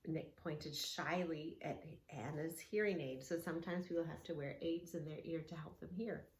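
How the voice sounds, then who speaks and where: A woman's voice: deep voice, steady tone, normal speed. A woman hosting a children's read-along, explaining simply and asking a child encouraging questions, with patient teaching. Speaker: host of a children's yoga story read-along. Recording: roomy echo with faint background noise.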